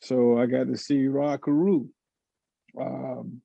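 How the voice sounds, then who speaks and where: Casual panelist: middle-aged man from Florida, heavy vocal fry, hesitant delivery, muffled video-call sound. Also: medium pitch, flat delivery, dark tone, dry recording. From Florida, United States. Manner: halting, hesitant, many fillers and restarts. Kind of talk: casual reminiscing in a panel discussion.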